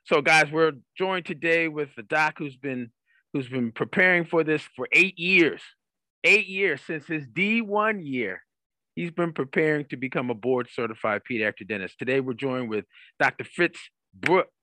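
A man's voice: fairly high pitch, moderate pace, sharp tone, dry recording. A man hosting a career coaching podcast in an upbeat interviewing style, emphatic and given to repeating phrases.

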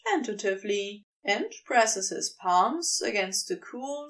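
A woman reading scripted fiction as an audiobook narrator, expressive and unhurried, heard slightly away from the microphone. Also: high voice, vocal fry, distant mic.

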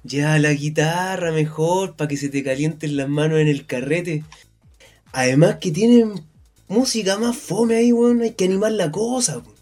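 A man's voice: a little high, normal speed, a little mellow, faint music behind, lightly acted. A man playing a rowdy, joking young Chilean small-town man in a naturalistic radio drama, talking in slang. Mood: teasing. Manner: teasing, slangy.

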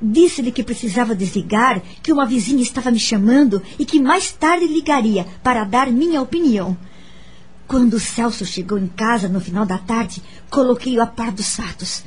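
Husky, higher-voiced wounded daughter. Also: female voice, moderate pace. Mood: earnest, uneasy. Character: adult daughter recounting painful family past, gentle, wounded, forgiving. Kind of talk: dramatised first-person narration, radio drama. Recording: background music under the voice.

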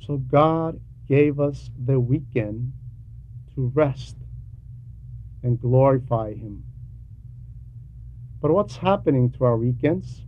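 A man preaching a sermon with emphatic, deliberate phrasing and frequent pauses. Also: mid-range voice. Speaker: preacher reading a translated homily. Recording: dull lo-fi recording with background noise.

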